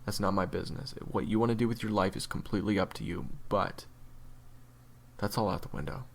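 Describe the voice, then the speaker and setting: A man playing a jaded, blunt monster hunter in an audio roleplay, speaking frankly and earnestly to a rookie, with profanity and halting delivery. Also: lowish voice, steady tone, vocal fry, a little hiss or hum. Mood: earnest, weary. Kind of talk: dramatic audio roleplay monologue.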